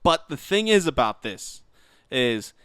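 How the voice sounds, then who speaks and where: Lively, clear-voiced male podcast host. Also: medium pitch, measured pace, dry recording, studio quality. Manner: opinionated, blunt, informal. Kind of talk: casual gaming podcast chat.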